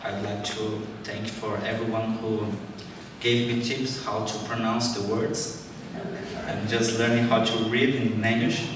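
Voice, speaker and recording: A man's voice: fairly low pitch, little pitch movement, background noise. Brazilian man reading a talk in an echoey hall. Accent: Brazilian Portuguese. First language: Portuguese. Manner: careful, deliberate, apologetic about his English. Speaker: conference speaker. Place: large conference hall. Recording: echoey hall recorded from far off.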